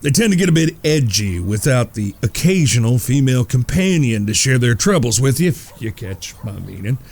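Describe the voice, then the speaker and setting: Man playing a gravelly, polite frontier traveler. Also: mid-range voice, sing-song, moderate pace, darkish tone. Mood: wry, courteous. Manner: folksy, courteous, contemplative. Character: polite, wry Old West traveler and poker player. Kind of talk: Western audio drama acting.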